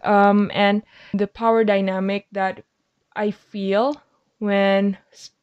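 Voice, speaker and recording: A woman's voice: mid-range voice, darkish tone. A young woman asking questions in a casual conversation, curious and chatty with lots of fillers. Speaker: interviewer.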